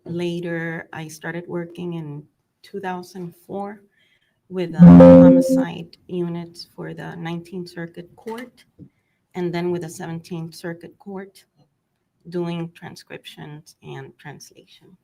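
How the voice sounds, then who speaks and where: A Cuban-born woman whose first language is Spanish, testifying as a court interpreter, soft-spoken and hesitant with many ums. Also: on the low side, little pitch movement, slow, vocal fry, a little hiss or hum, low-quality recording. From Cuba.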